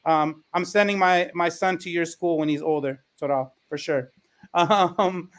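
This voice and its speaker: A man's voice: on the high side, steady tone, measured pace. A man who teaches, moderating a panel discussion in a conversational way.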